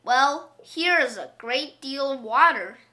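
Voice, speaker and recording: Woman reading as an eager, bright-voiced very old man. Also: high voice, lively intonation, slow pace, exaggerated voice. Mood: helpful. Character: very old man, gentle, eager, simple-hearted husband.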